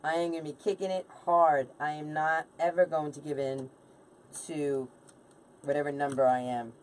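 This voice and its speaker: A woman's voice: low-pitched, flat delivery, moderate pace, recorded from a distance, a little hiss or hum. A woman in her late forties chatting casually and informally as she hosts a craft livestream, bantering with viewers and a helper. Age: late 40s. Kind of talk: casual live chat while crafting.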